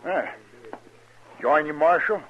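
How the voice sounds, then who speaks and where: A man's voice: fairly high pitch, takes it slowly. A man acting a plain-spoken, friendly but grumbling frontier army storekeeper with a rural Western American accent in a 1950s radio western. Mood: affable. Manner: folksy, blunt. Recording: muffled, hissy 1950s radio recording.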